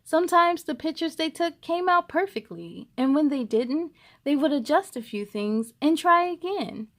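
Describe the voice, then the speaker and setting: A woman hosting a children's read-aloud, expressive and encouraging as she speaks to young listeners. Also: high-pitched, moderate pace. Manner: expressive, encouraging, addresses young viewers directly. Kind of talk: animated storybook reading for children.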